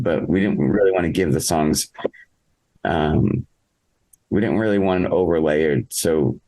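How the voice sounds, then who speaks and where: Male musician for a podcast interview: very low voice, casual, halting, thoughtful delivery. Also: darkish tone, low-quality recording. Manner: halting, reflective, thinking aloud. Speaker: interviewed musician, singer in a band.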